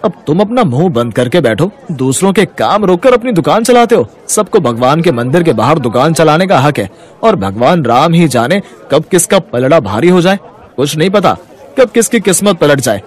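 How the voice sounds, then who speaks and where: A man's voice: on the high side, big pitch swings, moderate pace. A man playing a kind young customer who firmly defends the poor, righteous in manner, in a moral-story cartoon.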